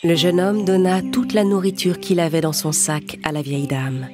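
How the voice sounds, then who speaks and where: A woman narrating children's fairy tales from a script in a measured, expressive storytelling manner. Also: on the low side. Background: music under the narration.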